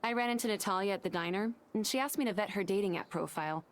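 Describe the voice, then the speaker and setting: A woman's voice: medium pitch, fast, slight background noise, close to an everyday voice. A woman playing a matter-of-fact, skeptical townswoman in a video game. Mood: frank, skeptical.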